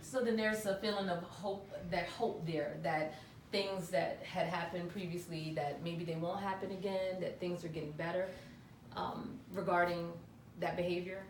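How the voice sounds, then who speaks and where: Calm, measured woman therapist. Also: on the low side, reverberant, slight background noise. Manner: calm, measured, asks reflective questions. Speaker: therapist in a role-played session. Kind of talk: counseling session role play.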